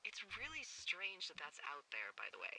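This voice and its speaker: An actress playing a wry, teasing American fire lookout in a video game, sarcastic and playful over a walkie-talkie. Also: female voice, on the low side, huge pitch swings, moderate pace, creaky, sharp tone, a little hiss or hum, full character voice, poor audio. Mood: wry musing. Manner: sarcastic, playful, salty-tongued. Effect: walkie-talkie radio. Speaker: game character, radio contact. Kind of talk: naturalistic game voice acting.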